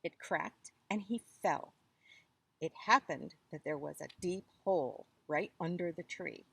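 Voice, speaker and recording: Slow, animated woman storyteller with husky voice. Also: fairly low pitch, no room sound. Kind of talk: expressive children's story reading.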